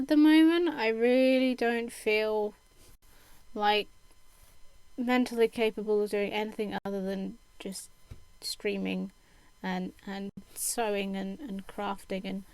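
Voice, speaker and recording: Slow-talking young woman, croaky. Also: medium pitch, low-quality recording. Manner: hesitant, lots of ums, self-deprecating laughs. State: croaky throat. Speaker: streamer. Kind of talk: casual chat while sewing. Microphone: desk microphone.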